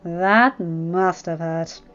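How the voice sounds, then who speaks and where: A woman's voice: lowish voice, a bit bright, highs cut off. Woman doing a drawling sarcastic nobleman. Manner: dripping sarcasm, drawn-out words. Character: sarcastic, witty noble man.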